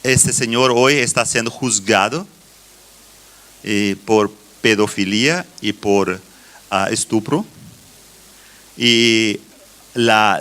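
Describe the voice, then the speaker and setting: A middle-aged man with a Brazilian Portuguese accent giving a church conference address in halting phrases with deliberate pauses. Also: mid-range voice, pushed and bright. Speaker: conference speaker, children's ministry teacher.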